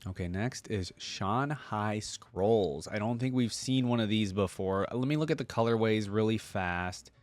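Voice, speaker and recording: A man's voice: fairly low pitch, measured pace, few pauses, dry recording. A man hosting a reaction video, talking casually and thinking aloud in a rambling, informal way.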